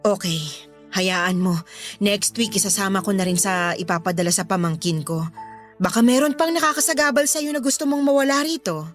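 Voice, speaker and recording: An actress with a Filipino accent playing a put-upon housemate in a radio drama, placating but answering back. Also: female voice, mid-range voice, moderate pace, natural voice. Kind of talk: Tagalog radio drama acting. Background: background music.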